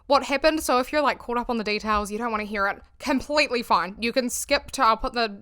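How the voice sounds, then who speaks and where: A woman's voice: fairly high pitch, quick pace, fairly bright tone. A woman from New Zealand with a Kiwi accent, hosting a casual commentary vlog from her bedroom, chatty and full of fillers. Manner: chatty, filler-heavy, laughs between phrases. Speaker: YouTube commentary host. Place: bedroom at home.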